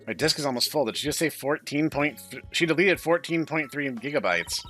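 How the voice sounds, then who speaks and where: Man acting an exasperated, incredulous computer user, lively. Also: on the high side, fairly quick, soft background music. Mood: wary, puzzled. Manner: incredulous, dramatic. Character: exasperated male computer user, wary office worker. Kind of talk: visual novel protagonist read-aloud.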